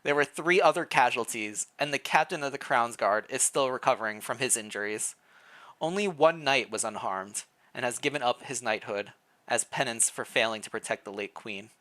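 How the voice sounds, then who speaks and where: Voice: mid-range voice, normal speed, pushed and bright. A streamer reading interactive fan fiction aloud, with playful asides between the narration. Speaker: streamer, reader.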